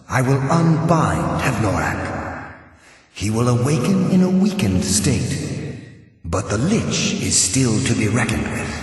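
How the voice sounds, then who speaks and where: An actor playing a haughty, solemn undead ghost in a video game, speaking in a theatrical, ominous way through a ghostly reverberation. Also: male voice, medium pitch, a little mellow. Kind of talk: video game character dialogue. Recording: cavernous long reverb, distant.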